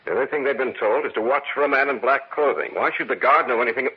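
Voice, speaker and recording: A man's voice: mid-range voice, quick pace, husky. An actor playing an anxious, haunted man in an intense, old-time radio horror style. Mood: tense. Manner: dramatic, intense. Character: anxious, guilt-ridden man hunted by evil, later its sinister impostor. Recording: noisy, muffled old radio recording.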